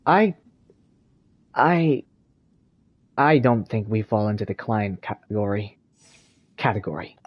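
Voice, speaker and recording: Man playing a husky, stammering young adventurer. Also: fairly low pitch, expressive, heavily acted. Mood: nervous. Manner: stammering, halting. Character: hesitant, flustered young adventurer. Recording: dull, lo-fi microphone with faint music.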